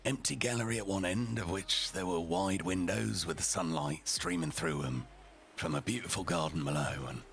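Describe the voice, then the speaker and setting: A man voicing a rough and ready, foul-mouthed storyteller, narrating a storybook tale theatrically with deliberate, dramatic pauses. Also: low-pitched, fairly bright tone, full character voice.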